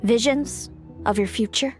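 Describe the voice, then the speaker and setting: Actress doing a aloof weary fae lady for a game, slow and dry, kinda cryptic and foreboding, faint music. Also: a little high, close to an everyday voice. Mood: aloof, explaining. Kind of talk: English video game voice acting.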